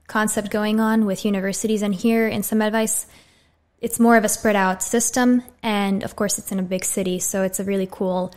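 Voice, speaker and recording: A woman in her twenties with an American accent, chatting as a podcast guest in a small studio on a condenser mic. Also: mid-range voice. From USA. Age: 20s. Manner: friendly, relaxed, frequent "so" openers. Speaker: podcast guest, medical student. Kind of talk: casual interview talk. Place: small bright studio room. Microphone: studio condenser mic on boom arm.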